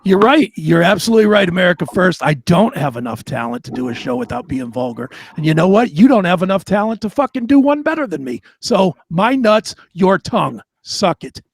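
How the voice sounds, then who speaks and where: A man with a raspy voice co-hosting an unscripted talk show, arguing in a crude, defiant, profane way. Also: high-pitched, sing-song, fairly quick, few pauses, slight background noise.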